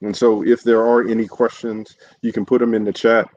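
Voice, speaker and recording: A man, an imam, giving a livestreamed sermon in an earnest, halting way, with many fillers and restarts. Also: fairly low pitch, flat delivery, darkish tone, highs cut off. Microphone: solo livestream setup.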